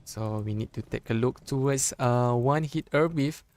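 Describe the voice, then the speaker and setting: A young man from Malaysia with a Malaysian accent, a Malay native speaker casting a live mobile esports match in English, chatty and joking, with halting phrasing and frequent restarts. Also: mid-range voice, a little mellow. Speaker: esports caster. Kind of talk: live esports game commentary.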